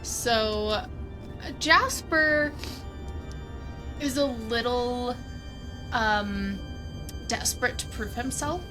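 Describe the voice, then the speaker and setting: Slow-talking woman gamer with a highish voice. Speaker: player in a tabletop roleplaying game. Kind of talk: casual livestreamed game chat. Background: music.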